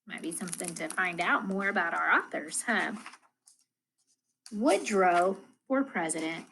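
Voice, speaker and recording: Woman reading a storybook with lively intonation. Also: lowish voice, slight background noise, poor audio. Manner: expressive, deliberate storytelling delivery addressed to young children. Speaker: elementary school math coach reading to pupils. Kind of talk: children's storybook read-aloud.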